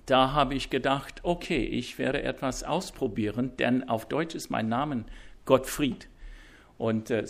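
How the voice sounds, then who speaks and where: Guest preacher, male, English-speaking accent, slow and gravelly, stumbling through fillers with a self-deprecating wit. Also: mid-range voice. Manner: halting, many fillers and restarts. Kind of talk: sermon introduction. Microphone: wireless mic.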